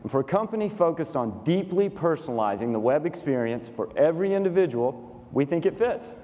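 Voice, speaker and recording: A man with a Texan accent, demonstrating software step by step in a dry, no-nonsense tone. His voice sits a bit high for a man, and it carries a long hall echo from a conference stage. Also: sing-song, fairly quick. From Texas, USA. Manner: dry, matter-of-fact, methodical walkthrough. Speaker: company COO demonstrating software. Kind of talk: staged product demo presentation.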